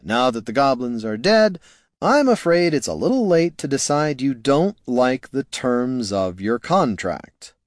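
A man voicing a pot-bellied mercenary warrior who speaks in a slow, deadpan, matter-of-fact way. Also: mid-range voice, dry recording, studio quality. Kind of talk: audiobook character voice.

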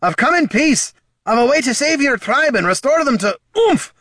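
A man voicing an embattled dwarf warrior in an audiobook, shouting urgently and pleadingly in a dwarven brogue. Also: very high voice, very animated, moderate pace, dry recording, studio quality, voice pushed up, heavily acted. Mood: hopeful pleading. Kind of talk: audiobook character voice.

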